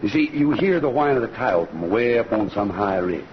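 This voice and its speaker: A man's voice: on the low side, animated, normal speed, slight background noise, close to an everyday voice. A man playing a kindly, reflective singing cowboy in an old-time radio western, with a folksy, poetic way of talking. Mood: reverent, reflective. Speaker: lead actor and singing cowboy. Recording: muffled old radio recording with music.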